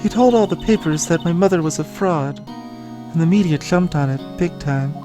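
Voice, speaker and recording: A man voicing a soft-spoken, sorrowful young woman who is a spirit medium in a video game, speaking haltingly and earnestly. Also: on the high side, voice pushed up, lightly acted. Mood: subdued. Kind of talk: voiced video game dialogue. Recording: music behind the voice.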